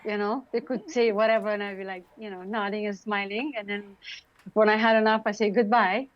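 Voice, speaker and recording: A woman from Romania in her late forties with a Romanian accent, chatting as an interview guest, warm and full of fillers and laughs. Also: mid-range voice, little pitch movement, brisk, muffled recording. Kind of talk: casual interview talk.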